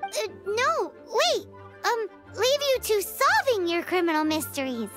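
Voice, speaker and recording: A woman voicing a tiny childlike fairy companion, eager and easily indignant, in animated video game dialogue. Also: very high voice, measured pace, heavily acted. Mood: flustered. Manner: speaks of herself in the third person. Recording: music behind the voice.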